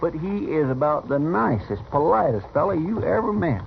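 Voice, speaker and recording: A high-pitched, gravelly rural American deputy, folksy and simple-hearted. He drawls along with naive eagerness and deference in an old Western radio play. The sound is muffled and dated. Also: big pitch swings, normal speed. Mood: eager. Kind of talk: 1950s Western radio drama acting. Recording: dull, noisy vintage radio recording.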